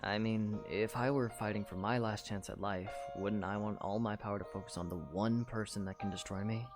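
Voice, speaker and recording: Guy doing earnest young hero, caring and calm, lowish voice, faint music behind. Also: lightly acted. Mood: thoughtful. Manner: gentle, caring, measured. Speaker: voice actor. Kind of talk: fan audio drama acting.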